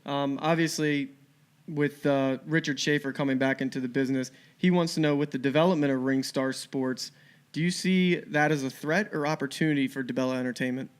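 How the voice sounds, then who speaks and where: A man's voice: mid-range voice, steady tone, a little fast, clear, resonant tone. A man co-hosting a podcast, reading out listener questions in a conversational way.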